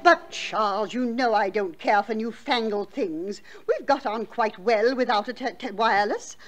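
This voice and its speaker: An actress playing a gentle, old-fashioned elderly aunt in a vintage radio drama, hesitant and genteel. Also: female voice, on the high side, normal speed, faint music behind, natural voice, rough recording. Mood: fond, hesitant. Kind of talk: 1940s radio drama acting.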